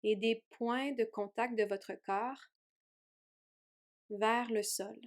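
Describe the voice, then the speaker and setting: A woman yoga teacher leading a guided meditation in a gentle, soothing way with long pauses. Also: on the high side, steady tone. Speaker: yoga teacher guiding a relaxation.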